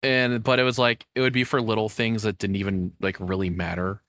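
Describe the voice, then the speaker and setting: A man with a fairly low voice recorded close and dry, as if at a streaming desk. He reacts casually and candidly, rambling in a self-deprecating way and swearing offhandedly. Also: flat delivery, brisk, a bit bright, no echo.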